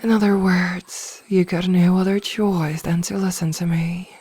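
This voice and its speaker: A woman's voice: on the low side, fairly even intonation, unhurried, dark tone, husky. A woman playing a possessive, teasing mage in an intimate ASMR fantasy roleplay, speaking in a coaxing, condescendingly sweet way and often slipping into whispers, close to the mic. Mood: coaxing, commanding. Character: possessive, smugly teasing adult female mage toying with a captive burglar. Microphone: close microphone, dry.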